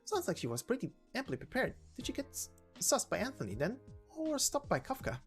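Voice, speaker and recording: Woman doing a playful, casual storyteller character, pitched up. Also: huge pitch swings, fairly quick, faint music behind. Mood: playful, curious. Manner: light, playful, conversational. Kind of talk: voiced visual novel story reading.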